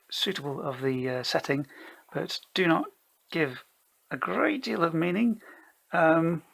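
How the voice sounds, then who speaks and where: A solo role-player narrating a tabletop game, improvising and thinking aloud in a hesitant, halting way. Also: mid-range voice, gravelly, a bit bright, poor audio. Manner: hesitant, halting, mulling things over.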